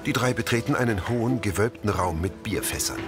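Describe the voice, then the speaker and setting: A man's voice: fairly low pitch, fairly quick, music in the background. A man reading scripted audio description narration for a television show.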